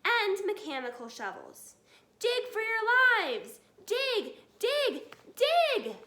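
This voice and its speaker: Lady doing a frantic dad fox from a storybook, loud n bright, urging everyone on, roomy sound. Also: very high voice, big pitch swings, slow, slight background noise, pitched above the performer's own voice, exaggerated voice. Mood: panicked, urgent. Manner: urgent shouting. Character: frantic, rallying father fox, brave and encouraging.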